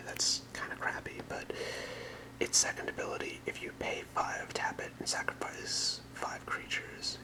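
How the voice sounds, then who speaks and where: Soft ASMR whisperer, fully unvoiced. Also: poor audio. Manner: soft, unhurried explaining in whispers. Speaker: ASMR creator presenting a trading card deck.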